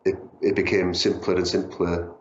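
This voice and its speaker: North Welsh man, very deep voice, reflective musician interviewee; muffled roomy recording. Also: little pitch movement, a little hiss or hum. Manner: reflective, halting. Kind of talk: interview answers. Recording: roomy, dull lo-fi audio.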